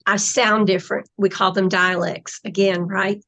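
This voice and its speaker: A woman's voice: medium pitch, flat delivery, normal speed, a bit bright. A woman in casual conversation, explaining her spiritual channeling in a reflective way, with trailing phrases.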